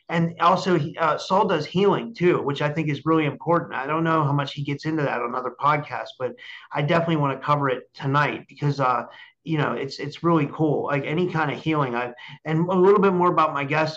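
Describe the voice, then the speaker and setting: A man's voice: fairly high pitch, a bit bright. A man hosting a podcast over a video call, chatting casually and often repeating his words as he goes. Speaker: podcast host. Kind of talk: casual interview chat. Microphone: remote video call. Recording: dull lo-fi call audio.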